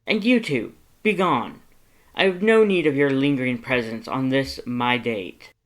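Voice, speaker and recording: A man's voice: medium pitch, unhurried, voice pushed up, close to an everyday voice. A man voicing a vain, haughty male skeleton lord with pompous, declamatory, theatrical speech. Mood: imperious. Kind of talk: theatrical storybook character acting.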